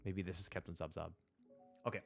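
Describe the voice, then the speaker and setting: A man's voice: low-pitched, little pitch movement, fairly quick, gravelly, fairly bright tone, soft background music. A man in his thirties, a native Spanish speaker, hosting a live stream and commenting casually as he plays, thinking aloud with many fillers and restarts. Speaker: livestream host. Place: small room at a desk. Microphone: close studio mic on a stand.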